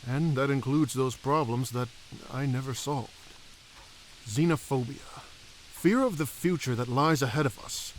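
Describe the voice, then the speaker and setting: A man playing a humble, troubled elf king and father in a fantasy audio drama, reflective and gently formal. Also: medium pitch, a little slow, a little hiss or hum, natural voice, low-quality recording.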